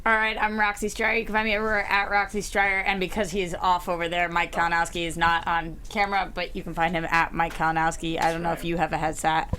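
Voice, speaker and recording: A woman's voice: lowish voice, level tone, quick pace, hardly any pauses, pushed and bright, slight background noise. A woman who is a panel show cast member, chatting in an informal, talkative way. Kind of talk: casual chat.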